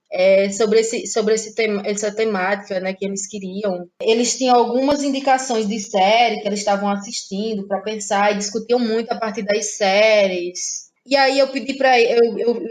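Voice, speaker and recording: Playwright guest, woman from northeastern Brazil, slightly high voice, reflective and unhurried, frequent self-corrections. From Ceará, Brazil. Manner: thoughtful, halting, many restarts and fillers. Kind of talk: casual interview conversation.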